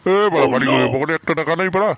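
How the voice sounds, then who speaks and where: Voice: mid-range voice, rapid-fire, fairly bright tone, lightly acted. A performer with a Bengali accent voicing a broke, grumpy young man who grumbles and swears in comic slang. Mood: grumbling. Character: broke, grumpy, foul-mouthed young man among friends. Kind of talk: comic cartoon dubbing.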